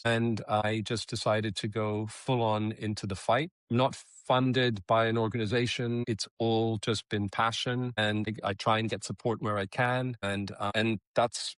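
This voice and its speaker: A middle-aged man from Israel, a copywriter and advocate, telling his story in an interview in an earnest, steady way with frequent restarts. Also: medium pitch, no room sound. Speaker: guest, creative copywriter and advocate.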